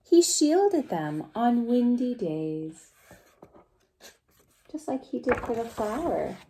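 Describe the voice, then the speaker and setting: A woman reading a picture book aloud to young children in an expressive, gentle way, pausing to ask them questions. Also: on the high side, slight background noise. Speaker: preschool story time reader.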